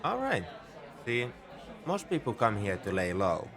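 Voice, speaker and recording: Man playing a low-voiced, shrewd space bartender. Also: huge pitch swings, unhurried, full character voice. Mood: friendly, probing. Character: shrewd, easygoing male bartender in a space outpost. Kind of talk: ASMR roleplay, scripted character monologue. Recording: crowd chatter behind the voice. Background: bar crowd murmur.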